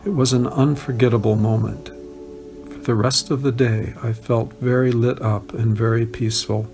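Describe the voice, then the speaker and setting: Male narrator for spoken memoir, average pitch, creaky texture, light music bed. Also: steady tone, moderate pace, darkish tone. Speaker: reader of written testimonials. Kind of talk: narrated first-person recollections, read aloud.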